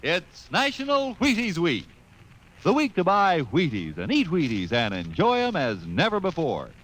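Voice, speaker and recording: A man's voice: wildly swooping pitch, normal speed, a bit bright. A man delivering an upbeat, folksy 1950s radio commercial as an announcer. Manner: peppy, folksy sales pitch. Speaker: advertisement announcer.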